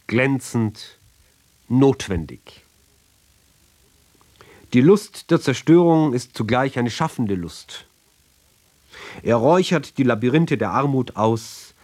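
A man's voice: medium pitch, a little mellow. A man gives a declamatory literary radio reading in clipped phrases with pointed, sardonic emphasis. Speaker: reader of a literary text.

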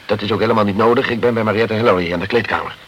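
An actor playing a pushy, urgent male investigator in a radio crime drama, speaking in a brisk, commanding, insistent way. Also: lowish voice, dull audio, close to an everyday voice. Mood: brisk, confiding. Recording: noisy old radio play recording.

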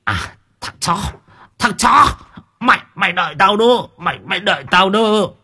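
Audio-story villain: hot-tempered construction boss played by a man, menacing and sneering, husky with big pitch swings. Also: very animated, rapid-fire, bright, forceful tone, heavily acted. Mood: threatening. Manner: sneering, repeats his threats. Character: bullying, hot-tempered male construction boss, spiteful and threatening.

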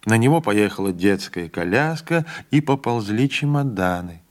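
A man reading a literary audiobook in a measured, even storytelling manner. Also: lowish voice. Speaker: audiobook reader. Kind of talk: literary narration.

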